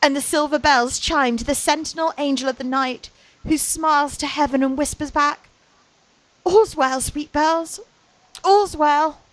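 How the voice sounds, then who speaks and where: A woman plays a gentle young Victorian ward given to daydreams. Her high voice moves slowly through wistful, poetic phrases in period radio drama style. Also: no echo, poor audio. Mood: dreamy, wistful.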